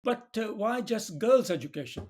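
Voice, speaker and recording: A man hosting a podcast, asking questions gently and hesitating often with "uh.". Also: high-pitched, expressive, normal speed. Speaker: podcast host. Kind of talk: interview questions.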